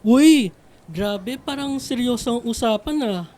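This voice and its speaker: Breezy, high-pitched student athlete. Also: female voice, animated, a little slow, mellow. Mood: breezy, joking. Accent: Filipino. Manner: casual, jokey, grumbling. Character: breezy, popular young student athlete, complaining jokingly. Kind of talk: radio drama acting.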